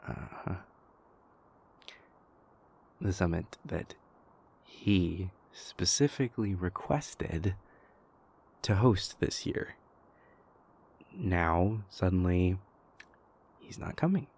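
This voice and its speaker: A man playing a stressed young vampire prince confiding in his lover in an intimate, halting audio roleplay monologue, with a husky, gravelly voice. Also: low voice, animated, darkish tone, exaggerated voice. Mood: hesitant, worried. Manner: halting, hesitant, exasperated, conversational.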